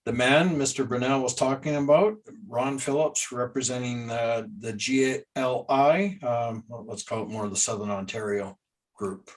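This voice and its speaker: A man's voice: medium pitch, moderate pace, far from the mic, rough recording. Man chairing a video meeting. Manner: friendly, relaxed, moves the agenda along.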